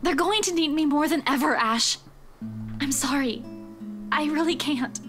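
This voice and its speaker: A woman playing a gentle, apologetic young woman in a visual novel, speaking in a hesitant, halting way. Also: sits high, faint music behind. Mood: pained, apologetic.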